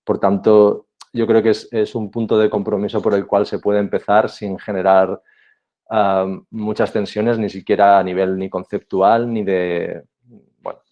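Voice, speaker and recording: A man giving a conference talk as a panel speaker, measured and explanatory, with some hesitations. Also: on the low side, little pitch movement, darkish tone, dull audio.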